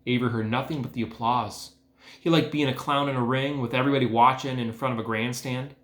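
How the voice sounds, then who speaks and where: A man reading a children's book aloud as host, with expressive, emphatic phrasing. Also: medium pitch. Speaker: read-aloud host, educator. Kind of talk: storybook read-aloud narration. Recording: echoey room.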